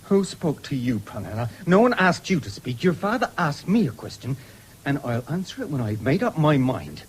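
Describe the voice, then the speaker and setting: A man's voice: a little high, big pitch swings, moderate pace, low-quality recording. A man playing a guarded, intense young farmer in a radio drama. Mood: defiant. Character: moody, hesitant young farmer pressed to enlist. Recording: 1970s radio broadcast with background noise.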